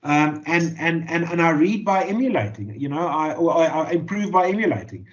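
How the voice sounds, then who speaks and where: South african man, afrikaans first language, journalist interviewee, slightly high voice, many ums and restarts, dull lo-fi sound. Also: lively intonation, moderate pace. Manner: thoughtful, many fillers and repeated words. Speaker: journalist and author. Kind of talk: interview answers. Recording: dull lo-fi audio.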